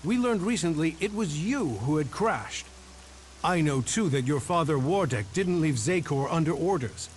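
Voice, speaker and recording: Stern game faction leader, male actor: higher-pitched, commanding, distrustful, deliberate. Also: lively intonation, slight background noise, natural voice. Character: wary, commanding leader resisting an enemy faction. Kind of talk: video game voice acting.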